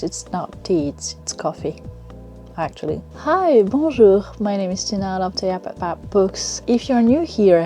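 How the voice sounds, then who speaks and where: Mellow-voiced French woman chatting about books. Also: mid-range voice. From France. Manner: rambling, frank, laughs often. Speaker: book vlogger. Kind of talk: casual chatty review. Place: cluttered room at home.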